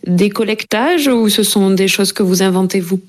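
A woman's voice: mid-range voice, normal speed. Thoughtful woman interviewer.

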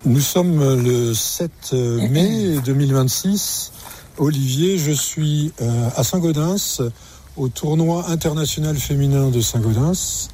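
Mellow-voiced male radio host. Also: on the high side, slow, faint music behind. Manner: conversational, slightly hesitant. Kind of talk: radio interview.

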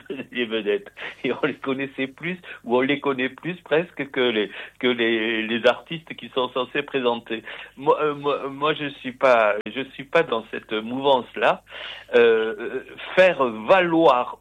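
A man in his sixties answering interview questions slowly, with many fillers and repeated words. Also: mid-range voice. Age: 60s. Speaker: literary event moderator, author. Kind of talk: interview guest. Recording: phone-like narrow sound.

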